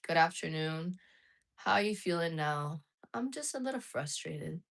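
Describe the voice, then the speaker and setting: Woman streamer, frank and casual, slightly low voice. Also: expressive, moderate pace, gravelly, fairly bright tone. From United States. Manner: chatty, frank, answers chat messages as she goes. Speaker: live streamer. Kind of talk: casual live chat with viewers.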